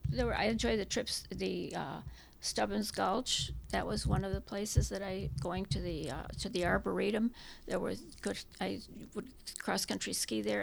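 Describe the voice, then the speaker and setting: An older woman whose first language was Czech, recalling her past in an interview in a halting, reminiscing way with many restarts. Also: mid-range voice, vocal fry, slight background noise, low-quality recording. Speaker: interviewee, hiking club member. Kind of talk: oral history interview.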